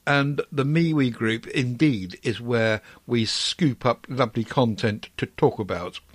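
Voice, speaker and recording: A man's voice: medium pitch, a little slow, dry recording. A middle-aged man hosting a podcast, chatting casually and rambling with many ums and restarts. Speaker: podcast host. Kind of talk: casual chat.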